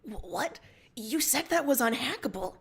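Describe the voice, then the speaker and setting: A woman voicing an urgent pirate ship captain in a read-aloud story, intense whether hushed with alarm or giving clipped orders. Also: sits high, lively intonation, normal speed, slightly rough, full character voice. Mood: shaken, hushed.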